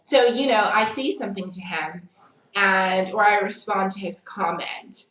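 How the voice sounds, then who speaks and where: A woman's voice: mid-range voice, a little slow, fairly bright tone. A young South African woman telling a story in a casual vlog, chatty, frank and unbothered. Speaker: vlogger. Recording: echoey room.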